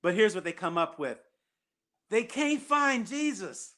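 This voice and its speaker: A man's voice: high-pitched, big pitch swings, unhurried, pushed and bright. A man hosting a call-in show, talking emphatically and repeating his key points. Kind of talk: casual call-in chat.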